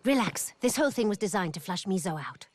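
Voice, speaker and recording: Woman doing a bossy cool young mob heiress, medium pitch, very expressive, video game style. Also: fast talker, slight background noise, close to an everyday voice. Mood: calm, assured. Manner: confident, commanding.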